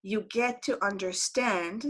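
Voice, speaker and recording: A woman's voice: medium pitch, slow. Chatty woman teaching online. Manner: chatty, repeats words, asks students questions. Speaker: Hebrew teacher. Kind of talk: live online class. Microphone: computer mic on video call.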